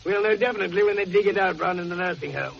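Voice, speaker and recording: High-pitched, creaky, bossy older sleuth. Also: male voice, rapid-fire. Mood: confident, teasing. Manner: avuncular, commanding, quizzing. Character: older, teasing, self-assured male amateur sleuth. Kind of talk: full-cast radio mystery drama acting. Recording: muffled noisy old radio recording.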